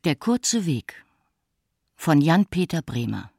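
A woman slowly and deliberately announcing the end credits of a radio play. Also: deep voice, big pitch swings, gravelly, a little mellow, breathy edge, no echo, studio quality. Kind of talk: reading out credits.

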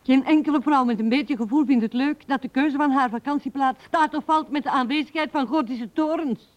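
Actress as earnest lovesick young woman in a radio play, highish voice, plaintive n insistent, muffled old recording. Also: fairly quick, close to an everyday voice. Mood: exasperated. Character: young Anna, earnest woman longing to be loved. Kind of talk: radio drama dialogue. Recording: dull 1970s radio recording.

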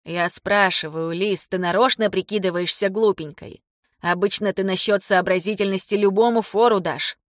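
A woman voicing an ailing older father in an audiobook, blunt, teasing and fond, speaking slowly and haltingly. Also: mid-range voice, fairly even intonation, no room sound, clean studio sound, natural voice. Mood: exasperated, teasing. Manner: slow, deliberate, halting for words. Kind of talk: audiobook character dialogue.

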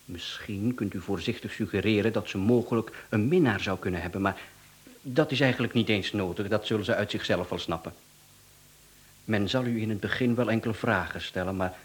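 Man playing a low-voiced, courteous Flemish villain. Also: lightly acted. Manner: polite, businesslike, mocking politeness. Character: smooth, courteous male contract killer and swindler, unflappable. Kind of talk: radio play acting.

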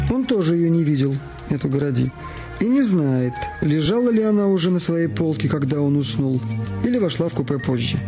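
An actor playing a male police detective reporting to a colleague in a radio drama. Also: on the high side.

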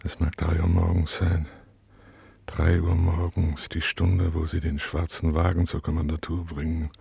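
Very deep, husky, broken male prisoner. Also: little pitch movement, mellow, no room sound, exaggerated voice. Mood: bleak, brooding. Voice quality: husky, breathy, gravelly. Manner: halting, fragmented, brooding inner monologue. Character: tortured male prisoner in a cell, numb, detached, bitterly weary. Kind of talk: literary radio play monologue.

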